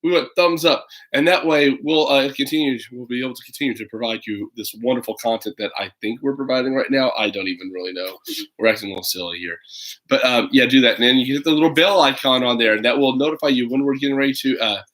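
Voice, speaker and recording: Casting: man in his thirties, casual livestream storybook reader for kids, mid-pitched voice, hesitant and playful. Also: fast talker, a bit bright, poor audio. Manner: rambling, hesitant, playful asides. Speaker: parent reading a picture book for children online. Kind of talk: casual livestream chat and children's storybook read-aloud.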